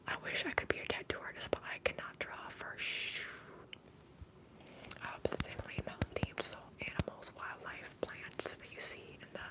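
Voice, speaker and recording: A woman streaming ASMR, whispering very close to the microphone in a breathy voice as she chats softly to viewers and repeats soothing trigger words. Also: normal speed, poor audio. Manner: soft, repetitive trigger words.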